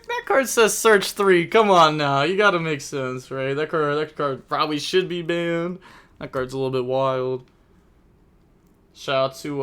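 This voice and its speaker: A man's voice: medium pitch, a bit bright, clean tone. A man hosting an online card game tournament on a live stream. He calls the turns play by play and chats loosely with viewers in a rambling way, full of "like" and "I mean". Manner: chatty, rambling, frequent "like" and "I mean". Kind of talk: casual live-stream commentary and chat reading.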